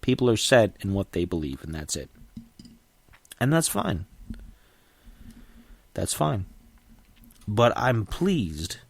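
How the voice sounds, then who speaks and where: A man's voice: on the low side, very animated, takes it slowly, vocal fry, long pauses. A middle-aged man hosting a solo podcast, sniffly from a cold, rambling and opinionated with frequent restarts. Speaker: podcast host.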